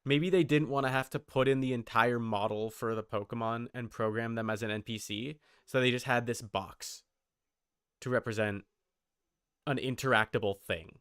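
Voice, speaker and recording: A man's mid-pitched voice, clear and smooth, recorded very dry and close. He reacts casually as a streamer, thinking aloud in short, broken phrases. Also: fairly even intonation, normal speed, a bit bright, no room sound. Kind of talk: casual live reaction commentary.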